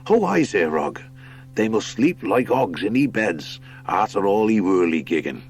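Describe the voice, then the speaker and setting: A man's voice: lowish voice, very animated, a little slow, darkish tone, faint music behind. A man voicing a cheeky young female hare in an audiobook, exuberant, posh and chummy. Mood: cheeky, delighted. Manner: exclamatory, chummy. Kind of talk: audiobook character acting.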